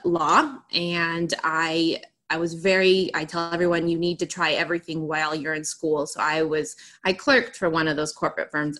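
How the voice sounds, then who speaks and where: A woman's voice: low-pitched, fairly bright tone. A woman from Greece, a podcast guest, answering interview questions with enthusiasm in long run-on turns. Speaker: podcast guest, attorney and author.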